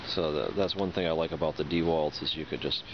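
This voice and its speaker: A man's voice: a bass voice, fast. A man giving a casual, hands-on product demonstration, thinking aloud hesitantly as he goes. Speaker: product reviewer. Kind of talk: casual unboxing and demonstration talk. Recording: dull lo-fi sound with loud noise.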